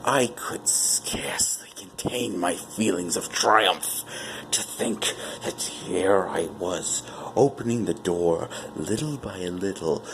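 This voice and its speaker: A man playing a nervous, unhinged madman in a theatrical horror monologue, with halting, drawn-out phrasing. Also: deep voice, gravelly, heavily acted. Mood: hushed, gloating. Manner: halting, drawn-out phrases, sudden whispers and cackles. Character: unhinged, nervous madman confessing murder, insisting he is sane. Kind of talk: theatrical dramatic reading of gothic horror monologue. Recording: noisy amateur one-take home recording.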